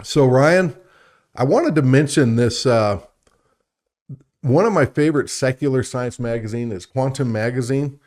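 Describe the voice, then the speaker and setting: A man's voice: mid-range voice, unhurried, a little mellow. A man co-hosting a conversational science and faith talk show, speaking in a chatty, enthusiastic way. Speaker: radio show co-host.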